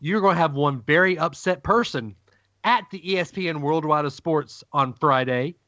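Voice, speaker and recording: A man's voice: on the high side, bright, forceful tone, no echo, highs cut off. Ranting man on a podcast. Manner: emphatic ranting, dramatic pauses. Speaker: podcast co-host. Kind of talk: casual sports podcast banter.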